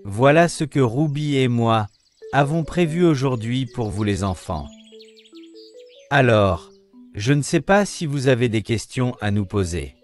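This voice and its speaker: A man as a slow, calm Bible teacher. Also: medium pitch, soft background music, lightly acted. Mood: earnest. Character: gentle male Bible teacher. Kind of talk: scripted cartoon narration.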